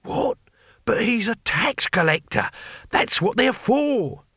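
A bloodthirsty old barbarian man, as a male narrator plays him, blunt and simple-minded with an easy menace. The voice sits slightly high and very dry, in a comic fantasy audiobook style. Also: sing-song, takes it slowly, bright, forceful tone, husky, no room sound, heavily acted. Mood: baffled.

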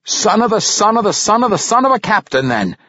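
A man's voice: medium pitch, big pitch swings, normal speed, breathy edge, dry recording, muffled recording. A man voicing a jolly, hearty dwarf priest in a fantasy audiobook, merrily exclaiming. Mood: congratulatory. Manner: merry, exclaiming dialect.

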